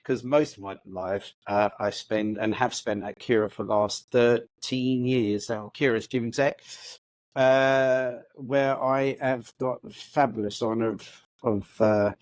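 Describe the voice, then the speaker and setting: A man's voice: mid-range voice, fairly even intonation, slow pace, dry recording. Reflective man in his fifties, dry sound. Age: 50s. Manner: reflective and upbeat, many hesitations and restarts. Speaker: guest, housing organisation chief executive. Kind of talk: conversational podcast interview.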